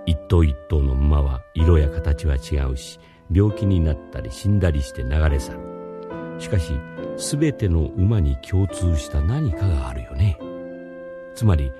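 An actor playing a patient, mysterious older philosophy teacher, explaining in a measured radio drama style. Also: male voice, a bass voice, expressive. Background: music.

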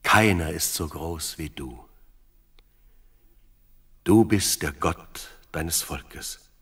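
A man's voice: low voice, drawn-out words, fairly bright tone, husky, exaggerated voice. An actor playing a devout, ceremonious king in a biblical audio drama, with stately, declamatory delivery. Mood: humble, reverent.

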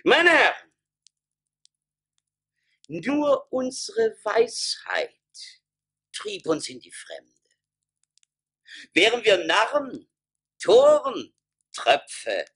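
An actor playing a cunning, grandiose brewer in a radio play, declaiming pompously to townsmen. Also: male voice, high-pitched, bright, forceful tone, breathy edge, full character voice. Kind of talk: German radio play oratory.

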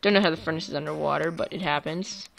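A woman casually commentating her own gameplay for viewers, chatty and quick to get excited. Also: deep voice, vocal fry, faint music behind, rough recording. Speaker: gaming YouTuber. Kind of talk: let's play commentary.

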